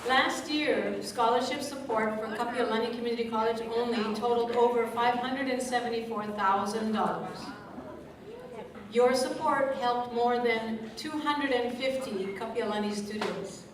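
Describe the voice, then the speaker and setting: Lady emcee with a big voice way off the mic, boomy echoey hall, room chatter behind. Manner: big projecting voice, speaks away from the mic; reads from a script with asides. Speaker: event emcee for a college scholarship evening. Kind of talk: public speech to an audience. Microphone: stage mic kept at a distance. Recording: echoey room, distant mic, crowd ambience.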